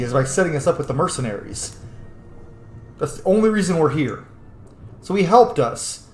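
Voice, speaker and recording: A man giving casual let's-play commentary, blunt, opinionated and freely swearing. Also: a little high. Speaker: gamer, streamer. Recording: echoey room with faint game music.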